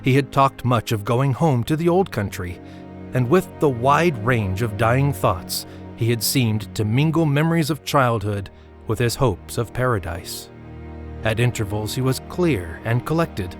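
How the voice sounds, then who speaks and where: A man narrating a literary story for an audio drama in a measured storytelling manner. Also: medium pitch, lively intonation, soft background music.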